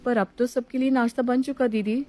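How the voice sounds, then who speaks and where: A woman's voice: fairly high pitch, steady tone, fairly quick, mellow, soft background music, pitched above the performer's own voice, natural voice. A woman voicing a put-upon young housewife in a story, polite and restrained as she complains. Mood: hesitant.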